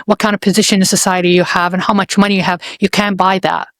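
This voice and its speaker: A woman's voice: medium pitch, flat delivery, quick pace, a bit bright, dry recording. Middle-aged woman, interview host. Earnest, reflective, mid-range voice, dry recording. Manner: earnest, reflective, repeats and restarts phrases. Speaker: podcast host. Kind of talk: casual interview conversation.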